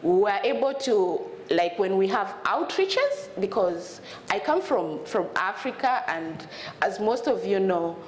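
A woman's voice: mid-range voice, sing-song, a little slow, slight background noise. A woman from South Sudan in her thirties speaks on a conference panel with a lapel mic, earnest and often repeating words as she looks for the next one. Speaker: panelist, refugee student advocate. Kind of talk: panel discussion talk. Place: conference stage with audience. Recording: roomy conference hall with faint ambience.

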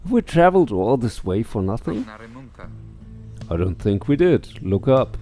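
A mellow-voiced man streams a video game from home, talking at a slow pace. He mutters to himself, reads on-screen text aloud and swears casually. Faint game music plays behind him. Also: medium pitch, sing-song. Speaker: let's play streamer. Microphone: headset.

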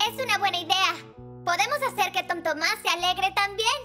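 A woman's voice: extremely high pitch, fairly quick. A woman voicing a small, sensible, kind girl fixie in a dubbed cartoon, explaining things patiently. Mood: gentle. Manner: patient, explaining rules gently. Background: children's music.

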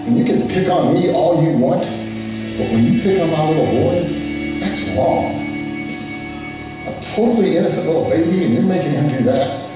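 A man's voice: fairly high pitch, normal speed, pauses often. A man telling his personal testimony as a father, plainspoken and earnest, with moments of strong emotion. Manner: plainspoken, earnest, emotional, quotes others in lively voices. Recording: echoey and distant with music underneath. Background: soft music.

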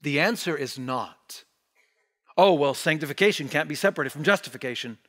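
High-voiced preacher, sweeping from loud to whispered. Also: male voice, brisk. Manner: animated preaching with wide gestures, dropping to near-whispers on key words. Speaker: pastor. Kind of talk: sermon. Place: church stage behind a wooden pulpit.

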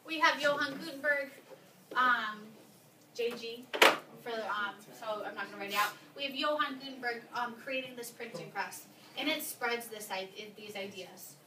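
Brisk woman teacher in an echoey classroom. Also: a little high. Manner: brisk, prompting students with questions. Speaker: history teacher. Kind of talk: classroom lesson with discussion. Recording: echoey classroom, distant mic, noisy.

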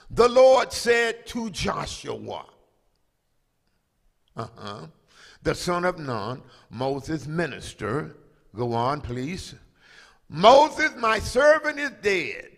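Older man pastor, slow and animated sermon delivery, bright ringing tone, reverb of a big sanctuary. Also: a little high. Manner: preaching with call-and-response, rising to loud emphatic proclamation; drawls key phrases slowly for emphasis. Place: large church sanctuary, at a pulpit. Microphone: handheld microphone. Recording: long reverb of a large hall.

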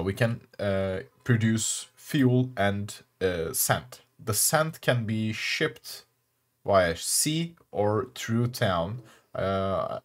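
A young Turkish man with a Turkish accent, speaking English as a second language, hosting casual gameplay commentary with many pauses and fillers. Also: low voice. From Turkey. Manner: halting, many fillers and restarts. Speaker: channel host.

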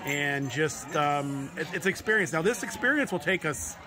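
A man's voice: on the high side, expressive, moderate pace. A man in his forties giving a chatty, casual walkthrough of a restaurant meal at the table, repeating words and laughing often. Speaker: travel vlogger. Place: busy restaurant table indoors. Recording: crowded restaurant chatter behind.

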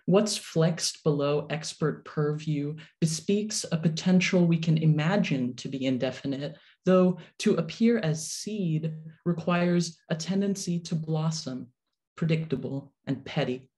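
Slow-paced woman reading poetry. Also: sits low, dark tone. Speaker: poet reading own work. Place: remote video call. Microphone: video call microphone.

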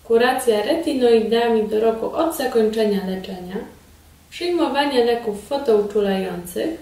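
A woman in her thirties giving formal, measured scripted instructions as a trainer in a small indoor room. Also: fairly high pitch, dark tone. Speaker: instructor presenting a beauty device. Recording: roomy echo, distant mic.

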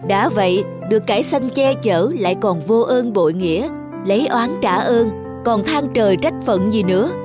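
A woman's voice: mid-range voice, animated, moderate pace, lightly acted. A woman voicing an older garden plant, a stern elder who lectures and admonishes in a children's cartoon. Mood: stern counsel. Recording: music behind the voice.

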